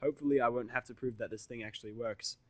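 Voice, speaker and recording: Guy reading a fanfic out loud in first person, mid voice, really dry audio, dramatic storytelling. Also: mid-range voice, steady tone, fast, no room sound. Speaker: fan fiction narrator.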